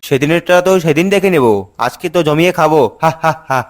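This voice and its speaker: A man voicing an eager, cocky young village lad in a cartoon, excitable and chatty. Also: on the high side, quick pace, faint music behind, lightly acted. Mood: cocky, excited. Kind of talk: cartoon voice acting.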